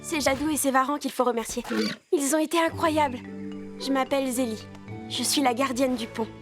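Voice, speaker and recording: A woman's voice: sits high, fairly even intonation, brisk, close to an everyday voice. A woman voicing a protective young cartoon girl who guards a bridge, firm and urgent in manner. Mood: friendly. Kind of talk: animated series dubbing. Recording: loud background music.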